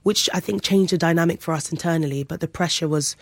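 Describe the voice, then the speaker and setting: A woman's voice: fairly low pitch, quick pace. A young adult woman comedian chatting in an interview, rambling with many restarts and fillers. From England and Nigeria. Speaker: comedian guest. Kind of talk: casual interview chat.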